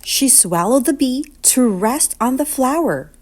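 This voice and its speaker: Slow, animated woman reading a storybook. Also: high voice. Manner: expressive, deliberate, sing-song storytelling. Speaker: children's storybook reader. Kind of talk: read-aloud picture book narration.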